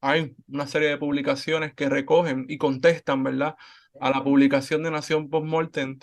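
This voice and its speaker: A man hosting a podcast interview, speaking earnestly and at a measured rate, with frequent fillers. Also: fairly high pitch, little pitch movement. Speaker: podcast host. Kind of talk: conversational interview.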